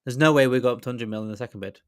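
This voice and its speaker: A man's voice: medium pitch, racing, clean tone, no room sound. Man chatting football, dry close sound. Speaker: football fan show panelist. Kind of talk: casual football transfer chat.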